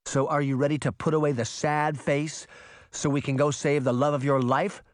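A man's voice: fairly high pitch, steady tone, normal speed, no room sound, voice pushed up, natural voice. A man voicing a blunt, impatient and determined girl in a storybook, speaking with insistent emphasis. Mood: coaxing.

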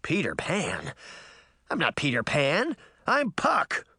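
A man's voice: medium pitch, big pitch swings, unhurried, gravelly, pushed and bright, no room sound, studio quality, heavily acted. A man voicing a boastful, indignant fairy trickster boy in a theatrical, crowing way for an audiobook. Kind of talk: audiobook character voice.

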